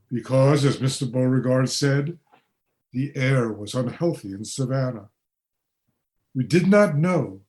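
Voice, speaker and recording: A man's voice: mid-range voice, darkish tone. A man playing a wistful, grieving father from a past century in a radio play.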